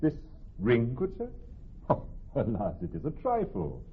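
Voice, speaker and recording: An actor playing an earnest, courteous young Venetian gentleman, speaking formal, emphatic verse in a classical radio drama. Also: mid-range voice, wildly swooping pitch, a little slow, husky, full character voice. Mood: reluctant, pleading. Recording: tinny narrow-band radio recording, faint music.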